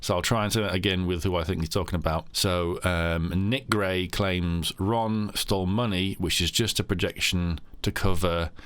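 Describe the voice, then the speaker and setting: A man's voice: deep voice, fairly even intonation, moderate pace, few pauses, a bit bright, clean tone. A man hosting a casual podcast talk, rambling with many fillers and restarts. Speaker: podcast host.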